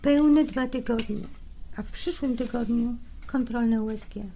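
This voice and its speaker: Higher-voiced convalescing wife. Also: female voice, measured pace, gravelly, mellow, slight background noise. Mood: matter-of-fact. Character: convalescing wife, plain-spoken, firm with her husband. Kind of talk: naturalistic radio drama acting.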